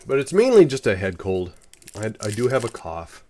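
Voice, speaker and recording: A man's voice: on the low side, sing-song, normal speed, a little mellow. A man with a head cold giving rambling, casual commentary while live-streaming a space game, often correcting himself.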